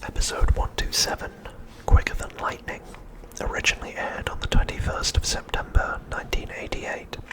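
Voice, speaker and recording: A man narrating an ASMR recap in a breathy, unvoiced whisper, with deliberate phrasing broken by short pauses. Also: a bit bright, low-quality recording. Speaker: ASMR host.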